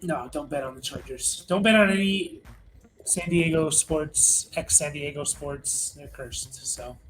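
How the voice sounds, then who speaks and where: A man's voice: fairly high pitch, a bit bright, a little hiss or hum, poor audio. A man hosting a fantasy football show in a casual, conversational sports rankings style.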